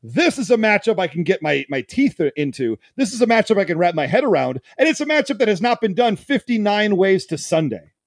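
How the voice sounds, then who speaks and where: A man's voice: high voice, big pitch swings, a little fast, no room sound, clean studio sound. An American man from the Detroit area co-hosting a comic debate, emphatic and bombastic, repeating phrases for effect. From Detroit area, Michigan, US. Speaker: podcast co-host and debater.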